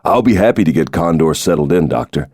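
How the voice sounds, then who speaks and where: A man's voice: extremely low pitch, expressive, a little fast, a little mellow, no echo. A man voicing a burly, devoted Secret Service bodyguard, dry and deferential, in an audiobook. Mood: obliging. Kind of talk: audiobook character dialogue.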